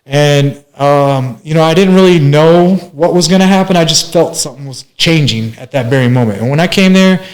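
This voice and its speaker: A man's voice: on the high side, moderate pace, few pauses, rough recording. Rambling man in his forties. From Minneapolis, USA. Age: 40s. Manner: rambling, many fillers and restarts. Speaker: guest, master barber. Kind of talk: casual life-story interview.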